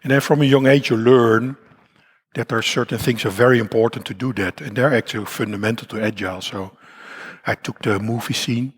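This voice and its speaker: Croaky Dutch man giving a conference talk. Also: mid-range voice, steady tone, brisk. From Netherlands. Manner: rambling, self-deprecating, with many fillers and restarts. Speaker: conference speaker. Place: conference hall.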